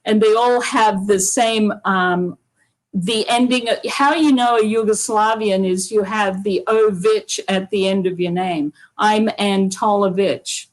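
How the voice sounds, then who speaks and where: A woman co-hosting a casual video-call chat, effusive and quick to laugh. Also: medium pitch, fairly even intonation, low-quality recording. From Australia. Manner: warm, effusive, laughs often. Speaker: co-host.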